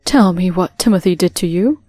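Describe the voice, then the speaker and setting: A woman's voice: lowish voice, normal speed, dry recording, studio quality, soft background music, close to an everyday voice. Woman voicing a fierce, caring mother-in-law. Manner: gentle toward Emily, sharp toward her son.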